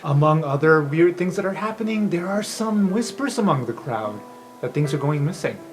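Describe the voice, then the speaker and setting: A man's voice: on the high side, moderate pace. Guy running a dnd game, laid back descriptive narration, music in the background. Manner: relaxed descriptive narration with casual fillers. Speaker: game master.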